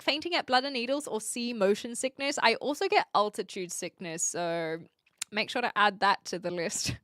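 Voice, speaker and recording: A woman's voice: mid-range voice, a bit bright, no room sound, clean studio sound. A young woman streamer in her twenties, from Australia, chatting casually and rambling as she reads out viewer comments, often giggling.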